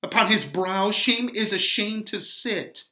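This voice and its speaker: A man performing a distraught, passionate teenage bride in a melodramatic, drawn-out Shakespeare reading. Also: high-pitched, pushed and bright, pitched above the performer's own voice, full character voice. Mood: indignant.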